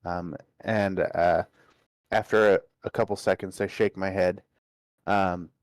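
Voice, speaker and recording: Male role-play gamer, casual delivery, slightly low pitch, very little room sound. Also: fairly even intonation, a little slow, no echo, clean studio sound. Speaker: tabletop game player. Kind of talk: casual first-person role-play chat.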